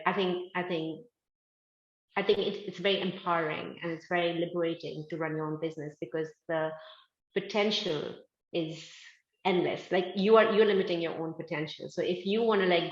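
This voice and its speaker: A woman's voice: on the low side, fairly even intonation, moderate pace, roomy sound, highs cut off. A woman from India, a business founder, talking casually in an interview with lots of "like" fillers. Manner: chatty, many "like" fillers, upbeat. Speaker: guest, fashion manufacturing founder.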